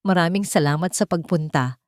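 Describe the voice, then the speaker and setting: A woman voicing a reflective wealthy father giving a calm, earnest speech in a storybook. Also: on the low side, brisk, dry recording, clean studio sound, natural voice.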